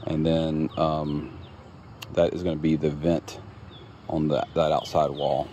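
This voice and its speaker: A man casually walking through his home's construction site, explaining the plumbing in a relaxed, plain-spoken way with plenty of ums. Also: rumbling low, slow. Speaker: homeowner showing his build. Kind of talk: casual walkthrough narration. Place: outdoors at a building site. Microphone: phone camera held while walking. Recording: outdoor phone audio with clear background noise.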